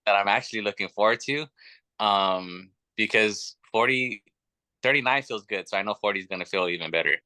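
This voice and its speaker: A man's voice: lowish voice, brisk, pushed and bright, no echo. A man in his thirties, a guest telling his family story in an earnest, chatty way with many fillers. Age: 30s. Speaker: podcast guest. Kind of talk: casual chat.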